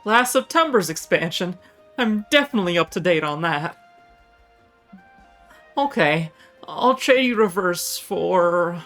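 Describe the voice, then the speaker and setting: A woman's voice: on the low side, lively intonation, measured pace, pauses often, fairly bright tone, soft background music. A woman voicing a timid, earnest and gullible young male student in a game read aloud. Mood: anxious, unsure. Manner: hesitant, unsure. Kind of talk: visual novel character read aloud.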